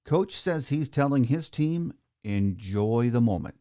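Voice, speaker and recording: A man's voice: mid-range voice, lively intonation, unhurried, warm tone. A middle-aged man hosting a sports podcast, delivering a scripted monologue. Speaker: podcast host.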